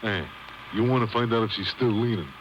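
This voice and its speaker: An actor playing an amiable, slow-witted cab driver in a 1950s radio drama, slangy, chatty and comically puzzled. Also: male voice, on the low side, lightly acted. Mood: puzzled, affable. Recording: dull, noisy cassette of old radio broadcast.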